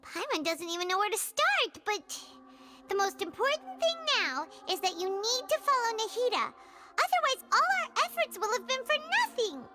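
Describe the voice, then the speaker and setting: A woman voicing a bratty, excitable little floating fairy companion in a video game, blurting things out and speaking of herself in the third person. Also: very high voice, normal speed, noisy background. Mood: worried. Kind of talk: video game cutscene acting.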